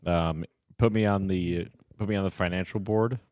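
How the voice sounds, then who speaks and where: A man's voice: low voice, fairly even intonation, moderate pace, darkish tone, no echo. A man co-hosting a comedy podcast, rambling and riffing on wordplay in casual banter from a studio. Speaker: podcast co-host.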